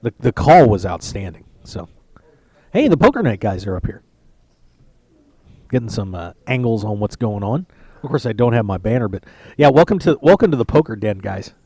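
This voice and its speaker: A man's voice: on the low side, big pitch swings, normal speed, pauses often, mellow, husky, no echo. A man hosting a poker radio show, chatting casually and joking from a lounge at a poker tournament. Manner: chatty, joking, rambling with restarts. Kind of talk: casual live-stream chat.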